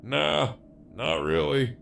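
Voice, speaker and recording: A man's voice: on the high side, fairly even intonation, slow pace, creaky, fairly bright tone, heavily acted. A man playing a sardonic, foul-mouthed jailed villain in an audio drama, with a wry, sarcastic drawl. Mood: mocking.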